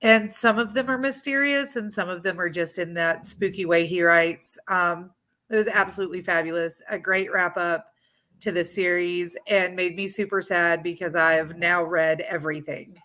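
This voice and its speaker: A woman's voice: on the low side, fairly even intonation, normal speed, bright, forceful tone. A woman talking casually about books as a guest in a group chat. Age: adult. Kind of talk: casual book talk. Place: indoors on a group video call.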